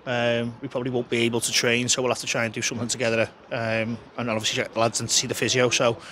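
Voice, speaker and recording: Man, 30s, football manager, candid and chatty, mid-pitched, recorded pitchside with faint outdoor hum. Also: level tone, quick pace, sharp tone. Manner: frank, chatty, frequent restarts and fillers. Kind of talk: post-match interview. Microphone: handheld interviewer mic held close.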